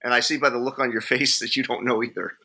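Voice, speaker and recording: A man on a podcast panel talking casually through his measured opinions in a rambling, hedging way. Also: medium pitch, level tone, sharp tone. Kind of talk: casual movie discussion.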